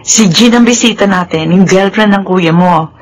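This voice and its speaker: A woman playing a devoted, understanding middle-aged mother in a Filipino radio drama, with a tender, expressive delivery. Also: mid-range voice, moderate pace, close to an everyday voice. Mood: reassuring.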